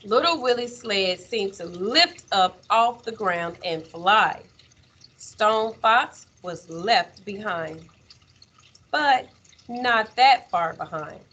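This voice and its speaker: A woman teacher reading a children's story aloud in an expressive, measured way, with dramatic pauses. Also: medium pitch.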